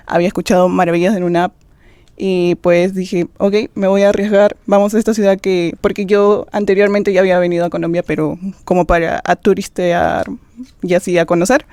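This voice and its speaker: A woman's voice: mid-range voice, darkish tone, no room sound. A young woman with a Peruvian accent, an exchange student answering thoughtfully with some hesitations. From Peru. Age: young adult. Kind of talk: conversational answer.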